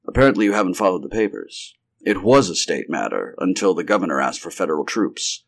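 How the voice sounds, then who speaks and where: A man reads the part of a quiet, steady secret agent from a pulp storybook. His voice is low and he talks in a businesslike, matter-of-fact manner. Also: fairly quick, gravelly, heavily acted. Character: steady, quiet male Secret Service operative. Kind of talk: acted story dialogue.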